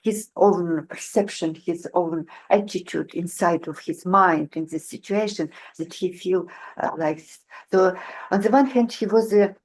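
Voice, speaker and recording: A woman panelist discusses a novel in halting English with non-native grammar. She pauses to search for words, and her voice sits slightly low. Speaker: panelist, literary scholar.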